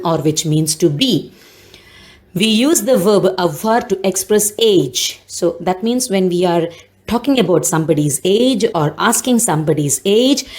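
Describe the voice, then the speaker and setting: A woman's voice: mid-range voice, a little mellow. A woman explains a language lesson as a teacher, in a didactic way, repeating phrases and key points for emphasis.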